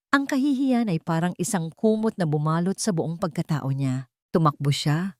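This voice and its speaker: A woman's voice: on the low side, darkish tone, no room sound, studio quality. A woman reading a scripted romantic drama as a storyteller, with dramatic narration.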